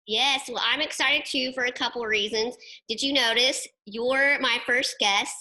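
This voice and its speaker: A woman's voice: on the high side, steady tone, moderate pace, pushed and bright, low-quality recording. A woman hosting an interview show from her studio, speaking with enthusiasm and emphasis. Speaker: host. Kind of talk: interview show host, intro to camera then casual chat. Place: home studio.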